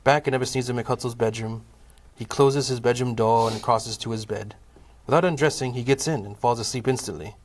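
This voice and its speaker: A man narrating a scripted comic audio play in a measured, formal storytelling manner. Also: mid-range voice.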